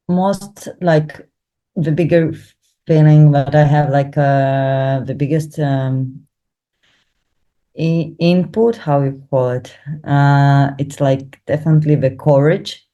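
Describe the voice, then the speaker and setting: A young woman talks in English, which is not her first language, pausing often and hunting for words. Her voice sits low and sounds mellow and relaxed. It is an unscripted interview, told with plenty of fillers and restarts. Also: highs cut off. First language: not English, not Hebrew. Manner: hesitant, searching for words, many fillers. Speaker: Hebrew learner interviewed about her course. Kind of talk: casual testimonial interview.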